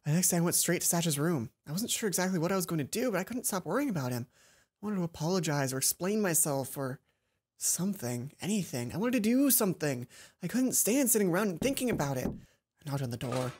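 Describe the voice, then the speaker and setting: A woman's voice: lowish voice, lively intonation, fast talker, a little mellow, breathy edge. A woman hosting a let's play, reading visual novel narration aloud and dropping in casual asides.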